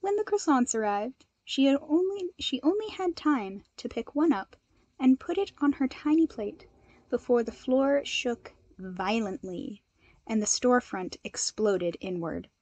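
A woman reading a story aloud as an expressive narrator, moving between narration and character dialogue. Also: on the high side, measured pace, dry recording, dull audio. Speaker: fan fiction narrator and reader.

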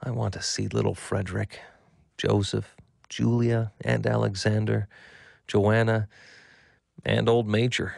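An actor reading old letters as a devout 19th-century husband, earnest, formal and solemn, for a documentary. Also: male voice, on the low side, flat delivery, measured pace, vocal fry, long pauses, a little mellow, dry recording, studio quality. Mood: sorrowful longing. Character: devout 19th-century husband writing tenderly to his wife.

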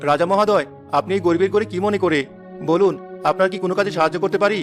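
A man's voice: high voice, racing, music in the background, natural voice. A man voicing a poor, humble woodcutter father in a cartoon, speaking in a pleading, deferential way.

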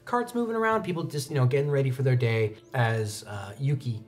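A young man improvising tabletop game narration as game master in a casual, halting, conversational way. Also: mid-range voice, soft background music. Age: young adult. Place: indoor studio set.

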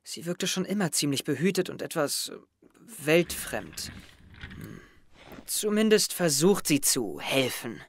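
A man's voice: a little high, sing-song, a little slow, slight background noise. A young man playing an introspective, wry teenage schoolboy who narrates his thoughts in an audio drama. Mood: pensive. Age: young adult. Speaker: actor narrating as the main character. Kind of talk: audio drama inner monologue.

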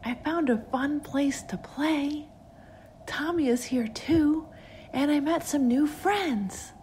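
A woman voicing a sinister spirit that poses as a sweet little girl, drawn-out and coaxing. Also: high voice, darkish tone, a little hiss or hum, voice pushed up, rough recording. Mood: eerily sweet.

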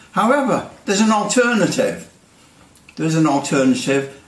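An older man in his seventies with a British accent, casually explaining technical things to camera and sometimes repeating his words. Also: mid-range voice. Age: older, around 70s. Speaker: presenter of a CB radio channel. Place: small indoor workshop with bare brick walls. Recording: roomy echo, distant microphone.

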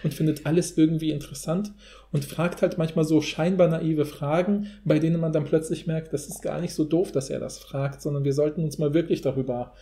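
Male podcast discussant, mellow and slightly high, wordy academic phrasing, informal delivery. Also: fairly even intonation, a little fast. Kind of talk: casual analytical discussion.

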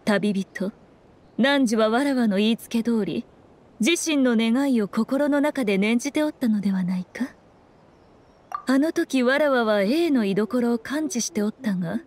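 A woman's voice: on the high side, a little mellow, a little hiss or hum, close to an everyday voice. Woman voicing a haughty, sly fox shrine maiden. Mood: explanatory, composed. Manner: haughty old-fashioned diction, measured and knowing. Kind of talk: Japanese anime game voice acting.

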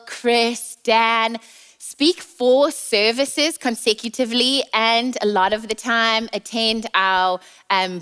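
A woman's voice: medium pitch, fairly bright tone, smooth. A woman in her thirties with a South African accent, preaching a sermon in a chatty, playful, teasing way on a large church stage. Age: 30s.

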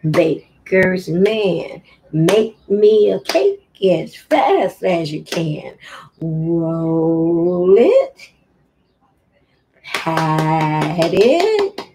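A middle-aged woman giving a deliberate demonstration for parents of babies, sometimes in singsong baby talk, recorded at home on a webcam microphone. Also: lowish voice, mellow, a little hiss or hum. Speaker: early childhood teacher, host of infant read-along. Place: room at home.

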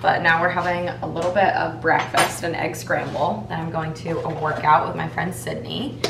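A woman's voice: low-pitched, little pitch movement, normal speed, vocal fry. Young american girl doing a casual vlog at home, roomy sound w a bit of noise. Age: 20s. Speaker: vlogger. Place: indoors at home, rooms and kitchen. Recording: roomy home echo, some background noise.

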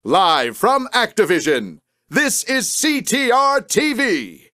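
A bombastic television announcer in a racing game. The delivery is slow and stretched, with huge swings in pitch and a bright, forceful edge. It sounds like a grand show opening. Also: male voice, very animated, no echo, studio quality, full character voice. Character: booming, excited male TV show announcer.

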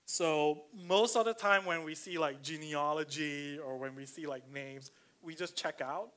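Malaysian-accented pastor, fairly high voice. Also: male voice, fairly bright tone. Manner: conversational, filler-heavy, slows down to stress points. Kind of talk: casual sermon with scripture reading. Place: church.

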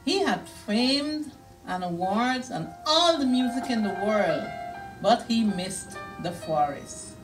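Middle-aged female picture-book reader: animated intonation, deliberate and expressive, recorded from a few feet away with music behind. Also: on the high side, recorded from a distance, low-quality recording. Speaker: children's storyteller. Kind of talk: picture-book read-aloud for young children. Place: small indoor children's reading room.

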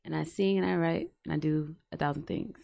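A young woman from Guyana, a singer-songwriter guest on a radio show, telling her story candidly and relaxed into a studio mic. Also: sits low, brisk, vocal fry. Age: young adult. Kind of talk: casual interview. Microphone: studio boom mic.

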